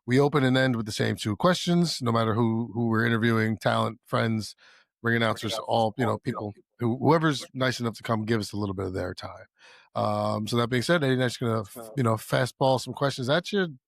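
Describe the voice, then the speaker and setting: A man's voice: medium pitch, fairly quick, gravelly, dry recording, studio quality. A man co-hosting a podcast, asking questions in a rambling, friendly way with frequent restarts. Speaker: podcast co-host. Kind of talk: casual interview chat.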